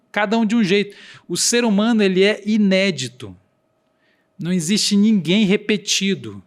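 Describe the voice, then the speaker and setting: A man with a regional accent, a podcast guest explaining earnestly and acting out quoted dialogue. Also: high-pitched. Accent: Brazilian Portuguese regional accent.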